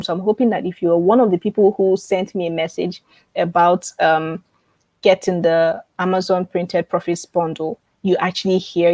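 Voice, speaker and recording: A woman's voice: on the low side, a little mellow, no room sound. Woman coaching on a livestream, dry sound. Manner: repeats phrases and checks in often. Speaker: online publishing coach hosting a live webinar. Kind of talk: informal live teaching and promotion, answering viewer questions.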